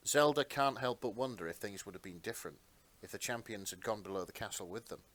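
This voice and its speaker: Casting: male narrator, lowish pitch, expressive and sentimental, reading fan fiction aloud. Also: quick pace. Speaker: narrator, podcast host.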